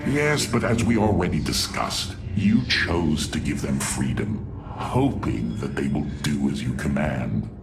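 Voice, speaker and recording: An actor playing a sardonic, menacing alien warlord in a video game, with a mocking, theatrical manner and a gravelly voice. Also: male voice, animated, unhurried, background noise, full character voice. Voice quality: deep, gravelly.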